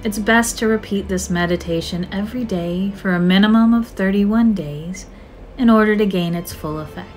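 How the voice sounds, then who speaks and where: A woman narrating a scripted guided meditation in a soothing, measured, gentle manner with drawn-out phrases. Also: mid-range voice. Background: soft music.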